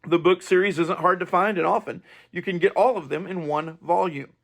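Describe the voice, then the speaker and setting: A man's voice: sits high, fairly even intonation, brisk, gravelly. A man hosting a podcast, giving a scripted monologue in an earnest, explanatory way. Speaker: podcast host.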